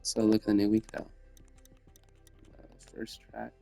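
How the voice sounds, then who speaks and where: A congested man with a very low, gravelly voice talks through his racing-game runs. He sounds stuffy from a cold and mumbles casual self-coaching with plenty of fillers. Game music plays clearly behind him. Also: completely flat delivery, unhurried, mellow. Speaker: gamer commentating his runs. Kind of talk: casual gameplay commentary. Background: game music and car sounds.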